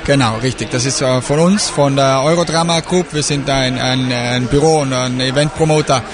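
A man's voice: mid-range voice, fairly even intonation, moderate pace. A man from Austria, an event organizer, talking eagerly with many fillers in an interview at a trade fair. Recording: crowd noise behind.

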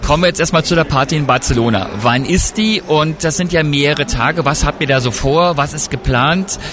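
A man working as a reporter, asking brisk interview questions. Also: fairly high pitch. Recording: crowd noise behind.

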